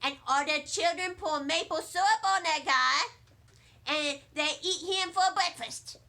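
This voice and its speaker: Woman acting a little girl, storybook heroine, very high bright voice, excitable, indignant, childishly emphatic. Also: measured pace, creaky, heavily acted. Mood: gleeful, vengeful. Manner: childish grammar, emphatic, breathless repetition.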